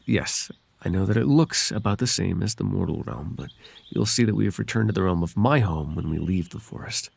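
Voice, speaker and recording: A man voicing a gentle, devoted and bashful winged fairy in an ASMR roleplay, speaking tenderly and hesitantly in formal, old-fashioned phrases. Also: sits low, lively intonation, fairly quick, gravelly, husky, heavily acted. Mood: gentle, reassuring. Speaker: voice actor.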